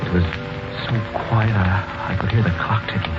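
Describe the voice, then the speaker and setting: A man's voice: on the low side, steady tone, moderate pace, natural voice. An actor playing an earnest, shaken, hesitant man who narrates his own 1940s radio mystery. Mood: hushed, suspenseful. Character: earnest, shaken young man, wrongly suspected lover and narrator. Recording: muffled 1940s radio broadcast with music.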